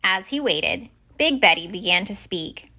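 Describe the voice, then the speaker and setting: A woman reading a children's picture book aloud as expressive story-time narration. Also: medium pitch, a little slow.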